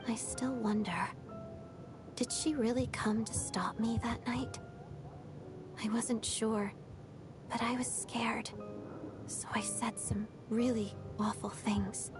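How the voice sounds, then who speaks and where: A woman playing a melancholy young ballet dancer in a video game, speaking softly and breathily in a restrained, reflective, measured way. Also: fairly high pitch, steady tone, music in the background. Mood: quiet, regretful. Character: melancholy young female ballet dancer and former Fatui trainee. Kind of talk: video game story dialogue.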